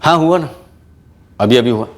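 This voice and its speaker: A man's voice: medium pitch, lively intonation, normal speed, close to an everyday voice. An actor playing a stern, blunt male police investigator in a TV crime drama, with a hard-edged manner. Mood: menacing, sarcastic. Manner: hard-edged, interrogating, matter-of-fact. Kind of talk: Hindi TV crime drama acting.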